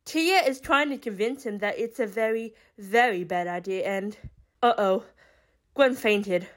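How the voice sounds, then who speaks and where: A woman playing a brisk, blunt, excitable young troublemaker in an audio drama. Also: mid-range voice, lightly acted. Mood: alarmed, blunt.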